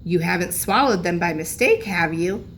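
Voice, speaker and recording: A woman's voice: fairly low pitch, lively intonation, moderate pace, a bit bright. A woman voicing a concerned mother in a children's storybook, with a raised, questioning delivery. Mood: worried questioning. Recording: noisy room recording.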